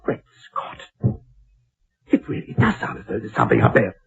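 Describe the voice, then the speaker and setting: An actor playing a stubborn, dismissive husband, a genteel writer, in the style of an old-time radio drama. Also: male voice, fairly low pitch, very animated, normal speed, vocal fry, heavily acted. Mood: alarmed. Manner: dismissive, blustering, old-fashioned genteel phrasing. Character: stubborn skeptical husband, a genteel male writer of ghost stories. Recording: muffled lo-fi old radio recording.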